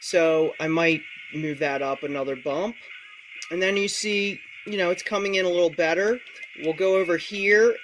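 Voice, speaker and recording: A man's voice: sits high, a bit bright, a little hiss or hum, rough recording. A man presenting a casual, step-by-step tech tutorial, explaining things directly to the viewer.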